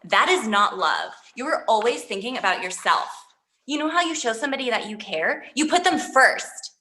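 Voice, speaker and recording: A woman's voice: fairly high pitch, sing-song, normal speed, sharp tone. Echoey room, actress as indignant young girlfriend. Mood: indignant, sarcastic. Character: indignant, sarcastic young girlfriend in a breakup argument. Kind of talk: comedy script table read. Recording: echoey room, faint noise.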